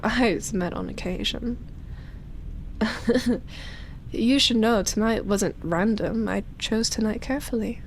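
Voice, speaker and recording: A woman voicing an ancient, lonely vampire in love, speaking softly and intimately with sighs, whispered words and lingering pauses, as in roleplay ASMR. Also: mid-range voice, a little hiss or hum, rough recording. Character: lonely, obsessive female vampire, ancient, tender and teasing.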